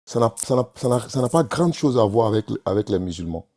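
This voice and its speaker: A man debating calmly on a live stream, measured and often restarting his phrases. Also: mid-range voice.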